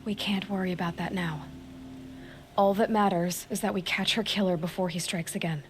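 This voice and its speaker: An actress playing a determined, level-headed young hunter in a video game, focused and matter-of-fact. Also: female voice, lowish voice, steady tone, normal speed, a little hiss or hum, lightly acted. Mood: resolute. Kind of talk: dramatic video game voice acting.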